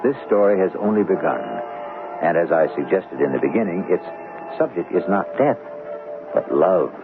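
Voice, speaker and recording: A man hosting a radio drama, delivering grave, deliberate scripted narration. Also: low voice, lively intonation. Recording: vintage radio recording, phone-like, music behind.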